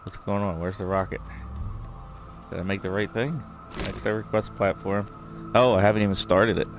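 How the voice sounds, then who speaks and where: A deep-voiced man with a gravelly edge talks through a video game session, thinking aloud and wandering through his thoughts. His voice is slightly worn, as if he is losing it, and clear game music plays under his talk. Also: steady tone, moderate pace. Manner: thinking aloud, rambling with fillers. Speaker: gameplay commentator. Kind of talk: casual let's-play commentary.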